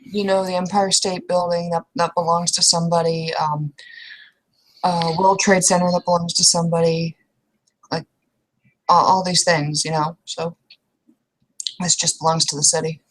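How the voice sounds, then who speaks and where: A woman playing a quiet, distracted, naively curious woman in improvised roleplay, speaking hesitantly and questioningly. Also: fairly low pitch, flat delivery, moderate pace, pauses often, natural voice, rough recording. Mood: naive curiosity. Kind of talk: improvised in-character roleplay dialogue.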